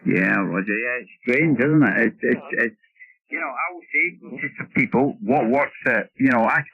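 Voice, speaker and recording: A man's voice: medium pitch, breathy edge. Man over a dull radio link. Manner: halting, repetitive. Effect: radio transmission. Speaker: ham radio operator. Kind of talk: casual radio contact. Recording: dull band-limited ham radio signal.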